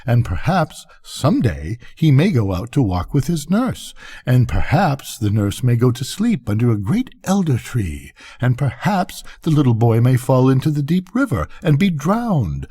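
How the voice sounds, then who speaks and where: Storybook firework rocket, played by an older man: self-important, easily offended, theatrical, husky, highly animated. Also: measured pace. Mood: mournful, scornful. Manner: pompous, indignant, declamatory. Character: vain, pompous, self-pitying male firework rocket.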